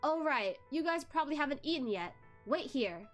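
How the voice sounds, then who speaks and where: Woman reading as a smooth-talking, playful male police chief. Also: high voice, normal speed, faint music behind, voice pushed up. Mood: playful, solicitous. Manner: solicitous, playful, flattering.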